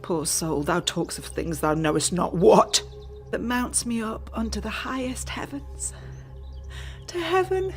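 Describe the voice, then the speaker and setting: A woman's voice: medium pitch, measured pace, soft background music, natural voice. An actress playing a middle-aged mother maddened by grief for her murdered son, speaking anguished, distracted verse in a radio tragedy. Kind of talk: Elizabethan tragedy radio acting.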